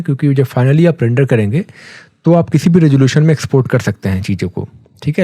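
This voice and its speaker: A man with an Indian accent giving a casual, conversational software tutorial, explaining step by step and mixing in English terms. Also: mid-range voice, dry recording, studio quality. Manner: conversational, mixes English terms, often checks in with a tag phrase.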